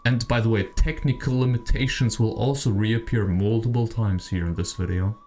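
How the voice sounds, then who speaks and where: Male video essay host, warm dark tone, conversational and speculative, soft music bed underneath. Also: on the low side, steady tone, moderate pace. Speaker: video creator, channel host. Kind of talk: video essay commentary on video games. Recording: music behind the voice. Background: background music.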